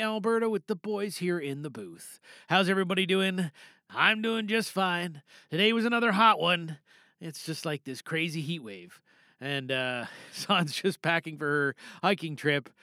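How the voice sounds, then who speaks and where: A man's voice: high-pitched, gravelly, dry recording, studio quality. A man hosting a podcast in a chatty, theatrical way, with rambling casual asides. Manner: animated, theatrical announcing mixed with rambling asides. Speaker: podcast host. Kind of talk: casual, chatty show introduction.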